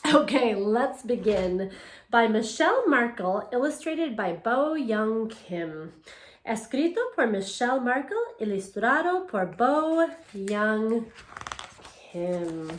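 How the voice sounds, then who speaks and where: A woman teacher reads a children's picture book aloud, first in English, then in Spanish. Her voice sits a little high. She speaks expressively and turns to her young listeners with questions. The sound carries a noticeable room echo. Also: sing-song, slow. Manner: alternates English and Spanish readings. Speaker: elementary school teacher. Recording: echoey room.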